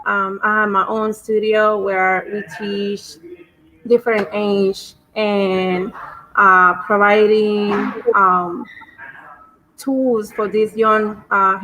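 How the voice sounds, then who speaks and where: A woman with a Puerto Rican Spanish accent talks in English over a video call, her voice bright and forward. She pauses often and fills gaps with "um" as she finds her words. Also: medium pitch, flat delivery, poor audio. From Puerto Rico. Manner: hesitant, many fillers. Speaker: dance teacher and studio owner. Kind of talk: panel introduction.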